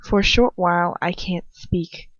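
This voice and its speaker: Slow, chatty woman reading aloud. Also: fairly low pitch, no room sound, muffled recording. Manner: chatty, laughing at her own tangents. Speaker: fan fiction reader. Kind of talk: reading a story aloud with casual asides.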